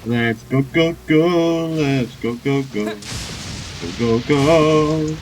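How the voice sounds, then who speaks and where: A man's voice: a little high, very slow, darkish tone. A man giving casual playthrough commentary as a gaming streamer, in a rambling, informal way. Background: game music.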